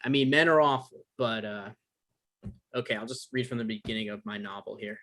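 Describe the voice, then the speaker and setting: A man acting an anxious, defensive novelist in a table read, speaking in a halting, earnest way. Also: fairly low pitch, a bit bright, close to an everyday voice. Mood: nervous, earnest. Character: anxious, defensive male novelist caught in romantic trouble.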